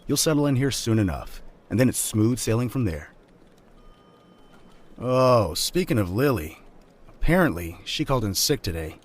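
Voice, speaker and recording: A man's voice: mid-range voice, big pitch swings, normal speed, pauses often. A man playing a laid-back, chummy young friend in an audio drama. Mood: easygoing, chummy. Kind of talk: audio fiction acting.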